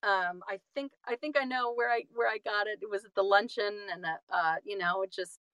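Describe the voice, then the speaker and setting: A woman's voice: mid-range voice, fairly bright tone, smooth, no echo. A woman chatting casually as an interview guest, effusive and often stopping to correct herself mid-sentence. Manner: effusive, halting and self-correcting. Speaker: interview guest, singer and audiobook narrator.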